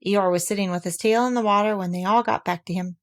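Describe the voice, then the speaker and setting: A woman reading a children's storybook aloud, telling it gently and with life. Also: medium pitch, steady tone, fast talker. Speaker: narrator reading a children's book.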